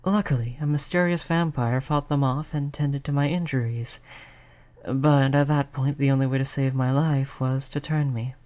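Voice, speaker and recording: A performer voicing a gentle, polite vampire in an intimate roleplay audio, speaking in a soothing, conversational way at a medium pitch. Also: steady tone, moderate pace, natural voice. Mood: friendly, reminiscing. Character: gentle, reassuring vampire, eighty years old, eternally polite. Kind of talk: roleplay audio drama, intimate one-on-one talk to listener.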